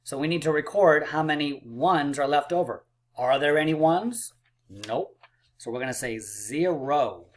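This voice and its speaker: A man teaching a math lesson for children, upbeat and encouraging, a little hoarse and clearing his throat. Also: a little high, unhurried. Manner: upbeat and encouraging, playful drum rolls and counting aloud. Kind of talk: math lesson video for kids.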